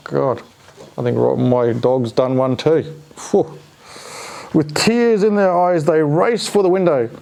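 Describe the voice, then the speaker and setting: A man's voice: on the high side, creaky, a little mellow. A man reading a children's story aloud expressively, with playful, chatty asides to a pet. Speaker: storyteller reading a picture book to a dog.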